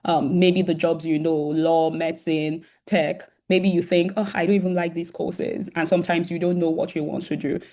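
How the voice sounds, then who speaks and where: Woman host, sore throat, lower register with some vocal fry, heavy "um" fillers, video call. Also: level tone, normal speed, hardly any pauses, mellow. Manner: many "um" fillers, restarts, addresses students directly. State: sore throat, occasional coughing. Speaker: founder and host of a virtual career event. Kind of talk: casual welcoming talk on a video call.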